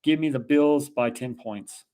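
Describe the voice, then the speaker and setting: A man's voice: mid-range voice, unhurried, warm tone. A man with a Boston accent co-hosting a casual football picks show, rattling off confident predictions. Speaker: sports picks co-host. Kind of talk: casual sports betting chat.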